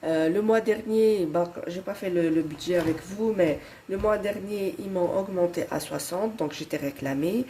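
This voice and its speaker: A woman's voice: lowish voice, normal speed, hardly any pauses, mellow, slight background noise. A woman in her thirties with a Belgian French accent and a head cold, chatting casually and hesitantly to her viewers as she works through her monthly budget. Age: 30s. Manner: hesitant, many fillers and restarts. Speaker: budgeting vlogger.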